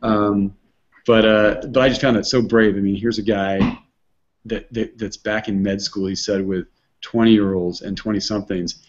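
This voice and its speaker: A man's voice: fairly low pitch, steady tone, normal speed, dull audio. A man hosting a casual online hangout, talking in a rambling way with many restarts and fillers. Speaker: host.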